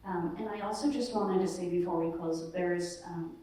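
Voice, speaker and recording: A woman's voice: fairly low pitch, steady tone, moderate pace, mellow. A woman organizing a gallery show, speaking on a panel in a friendly, informative way. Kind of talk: casual panel discussion. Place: large echoey gallery, live event. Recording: echoey gallery from far off.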